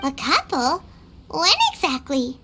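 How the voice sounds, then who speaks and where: Squeaky, cheeky little fairy companion. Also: female voice, expressive, slow, heavy background noise, heavily acted. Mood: puzzled, nagging. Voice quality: squeaky, childlike. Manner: chirpy, refers to self in third person. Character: tiny childlike female fairy companion, cheeky, food-loving. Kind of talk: video game character acting. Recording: music behind the voice.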